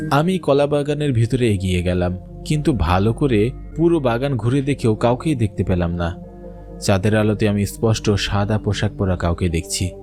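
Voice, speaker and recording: A man's voice: fairly low pitch, lightly acted. A young man playing a grown son who tells his own eerie village ghost story in dramatic, suspenseful scripted narration and dialogue. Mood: wistful, uneasy.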